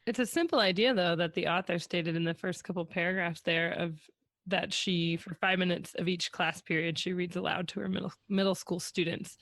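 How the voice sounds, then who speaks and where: A man with a fairly high voice co-hosts a podcast discussion. He speaks conversationally, and the recording is dry with almost no room sound. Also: fairly bright tone, no room sound. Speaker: podcast co-host.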